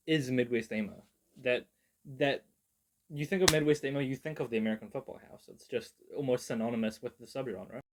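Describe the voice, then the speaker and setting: A man's voice: mid-range voice, a little hiss or hum. Rambling young New man. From New Zealand. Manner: rambling, self-mocking, swears, many "like" fillers. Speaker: video creator. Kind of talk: casual genre breakdown.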